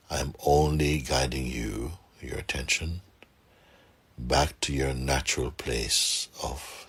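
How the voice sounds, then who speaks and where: A man guiding a meditation for a group, speaking softly and calmly with gentle pauses and a husky, breathy voice. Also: very deep voice. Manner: unhurried, gentle pauses, calm instruction. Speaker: meditation guide. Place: room with a seated group, doors closed.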